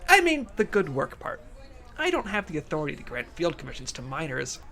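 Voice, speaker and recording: A man playing an upbeat, awkward, well-meaning government agent in a comedic audio drama, earnest and excitable. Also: fairly high pitch, fairly quick, creaky, exaggerated voice.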